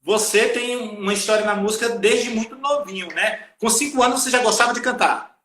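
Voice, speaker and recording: A man's voice: sits high, a little fast, fairly bright tone, echoey room. A male podcast host asking interview questions, affirming with short interjections.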